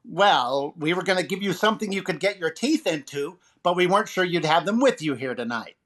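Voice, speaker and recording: Radio variety host, played by a man, wisecracking and theatrical, very lively intonation. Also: high voice, brisk. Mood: bantering. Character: wisecracking male comedian hosting a radio variety show. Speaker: radio show host and comedian. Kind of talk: 1940s radio comedy recreation.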